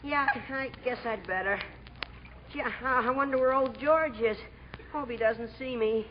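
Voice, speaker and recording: A woman in a 1940s radio drama voicing a frail, trusting, anxious young boy with halting, breathy speech. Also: on the high side, slight background noise, lightly acted. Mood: winded. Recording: muffled 1940s radio, music behind.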